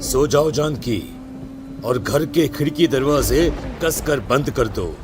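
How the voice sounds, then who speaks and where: A man's voice: mid-range voice, animated, slow pace, background noise. A man playing an anxious, protective village headman and father, speaking earnestly and hesitantly in a dramatized story. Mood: grave, worried. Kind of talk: Hindi audio story acting.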